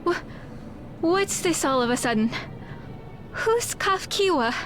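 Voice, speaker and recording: A confused young villager from a game, voiced by a woman, extremely high and swinging in pitch. She talks slowly, with faint music behind her. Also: animated, fairly bright tone, heavily acted. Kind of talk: video game dialogue.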